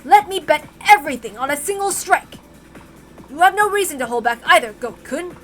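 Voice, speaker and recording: A woman's voice: high voice, lively intonation, moderate pace, faint music behind. A woman voicing a brave, desperate man in a dramatic story reading, stammering and emphatic with melodramatic defiance. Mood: determined. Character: desperate, brave adult man Kraus, defiant gambler and ex-boxer.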